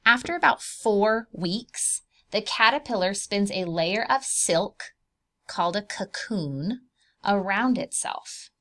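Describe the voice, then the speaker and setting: A woman's voice: medium pitch, animated, slow, a bit bright. A woman preschool teacher reading aloud to young children, explaining things simply, asking questions and drawing out key words.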